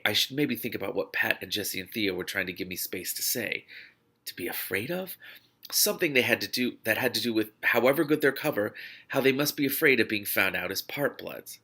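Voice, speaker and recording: A man reads fiction aloud in a slightly low voice with a bright, forceful edge. His delivery is expressive and informal, like a host sharing a book and reacting as he goes. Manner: animated, informal, breaks off to comment. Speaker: reader and host of a read-along series. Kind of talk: expressive read-aloud of a novel with off-the-cuff reactions.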